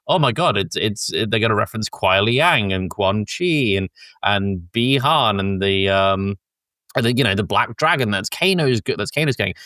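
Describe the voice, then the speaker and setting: A man's voice: lowish voice, bright, forceful tone, no echo. A man hosting a podcast with casual film discussion while a bit sick. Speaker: podcast host.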